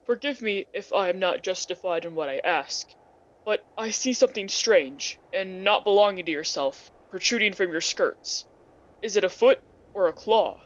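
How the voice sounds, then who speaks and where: A woman's voice: medium pitch, animated, normal speed, muffled recording, natural voice. A teenage woman acting a fearful, pleading old man in a radio play, anxious and formal. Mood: uneasy.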